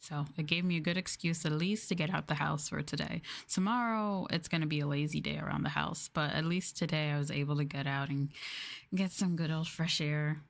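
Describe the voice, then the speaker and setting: A soft-spoken, gentle woman streamer doing an ASMR livestream close to the microphone, sometimes drifting toward a whisper. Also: low voice, fairly even intonation, fairly quick, gravelly, dry recording. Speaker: VTuber streamer.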